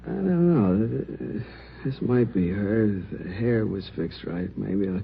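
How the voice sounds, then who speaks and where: A worldly but rueful wounded victim with a low, creaky voice. He mutters haltingly in old radio-play style. The recording is dull with faint noise. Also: animated, exaggerated voice. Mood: hesitant, uneasy. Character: rueful injured male robbery victim, weary, hesitant, worldly. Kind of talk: 1950s radio drama acting.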